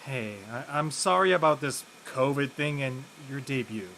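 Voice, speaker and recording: John, animated, awkward teenage boy, played by a man. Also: medium pitch, moderate pace, gravelly, slight background noise. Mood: awkward concern. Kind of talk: conversational acting in an online audio play.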